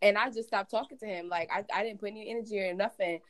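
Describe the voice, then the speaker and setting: Young woman, medium pitch, slangy, emphatic, casual storytelling. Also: steady tone, fast, fairly bright tone. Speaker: podcast panelist.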